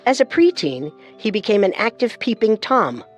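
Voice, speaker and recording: A woman narrates true crime as a co-host. She reads scripted, dramatic storytelling in a medium-pitched voice, with music clearly running underneath. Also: very animated, normal speed.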